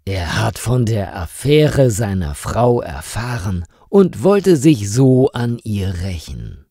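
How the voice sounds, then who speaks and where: Slow, deep-voiced male story narrator. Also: big pitch swings, mellow, no room sound, clean studio sound. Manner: clear, deliberate storytelling delivery. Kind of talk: slow scripted story narration for language learners.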